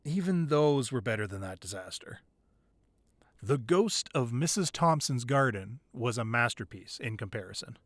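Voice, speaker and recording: Expressive man, acting a crime-boss boyfriend, close and dry. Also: medium pitch, normal speed, dry recording, lightly acted. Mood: playful, amused. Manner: conversational, playful with partner, curt and commanding in business. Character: affectionate boyfriend, secretly a hardened crime boss. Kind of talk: intimate ASMR roleplay acting.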